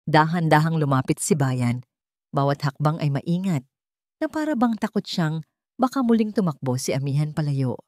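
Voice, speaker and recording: Filipino lady narrating a love story, lowish voice, super dry close sound, dramatic read. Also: a little mellow, dry recording.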